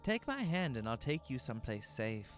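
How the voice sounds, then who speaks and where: A man voicing a soft-spoken stranger who is secretly a fox-faced demon, speaking calmly and smoothly. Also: fairly high pitch, moderate pace, faint music behind, pitched above the performer's own voice. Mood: calm friendly.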